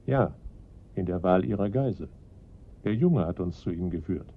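A man playing a confident, encouraging police detective in a radio crime drama, with measured delivery. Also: sits low, expressive. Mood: smug, calm. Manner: approving, measured questioning. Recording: dull lo-fi radio play recording.

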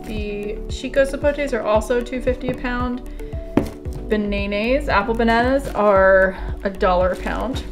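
A young woman chatting upbeat to the camera as she tours an outdoor market and then shows her haul at home. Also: medium pitch. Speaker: vlogger. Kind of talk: casual market tour vlog.

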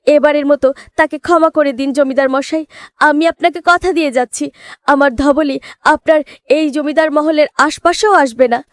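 A woman's voice: high-pitched, steady tone, normal speed, no room sound, clean studio sound, close to an everyday voice. A woman voicing a poor village girl in a fairy-tale cartoon, earnest, insistent and imploring. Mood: pleading. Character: pleading poor village girl, devoted to her cow.

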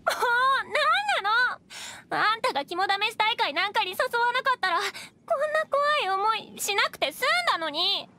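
A woman's voice: very high-pitched, animated, normal speed, bright, forceful tone, slight background noise, exaggerated voice. A woman playing a frightened, snappish young woman in a Japanese anime game, agitated and defensive. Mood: scared, accusing.

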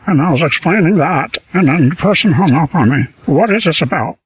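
A man's voice: fairly high pitch, expressive, a little fast, husky, dry recording, poor audio. A scambaiter playing a lonely, rambling old man who feigns confusion on the phone.

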